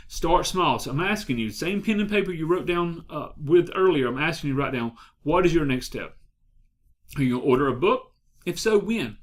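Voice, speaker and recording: Male motivational coach, fairly high-pitched, direct and emphatic, repeats for effect. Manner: emphatic, repeats key phrases, asks the viewer direct questions. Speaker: life and business coach. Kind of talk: motivational talk to camera.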